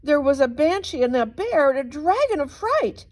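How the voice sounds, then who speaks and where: A woman's voice: sits high, big pitch swings, normal speed, a little mellow, voice pushed up. A woman voicing a frightened young girl bunny in a storybook, urgent and pleading. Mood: frightened, upset. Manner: urgent, exclaiming.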